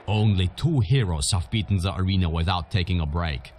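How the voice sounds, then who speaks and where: An actor playing a gruff, hardened arena boss in a video game, bossy and blunt. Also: male voice, low voice, brisk, darkish tone, slight background noise, natural voice. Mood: gruff advice. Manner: commanding, blunt. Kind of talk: video game character acting.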